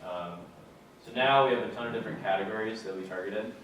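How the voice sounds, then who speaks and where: A young man giving a casual talk to a student audience, relaxed and plain-spoken with many fillers. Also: on the low side, quick pace, highs cut off, slight background noise. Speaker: guest speaker. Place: lecture room with audience. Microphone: far camera. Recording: echoey room from far off.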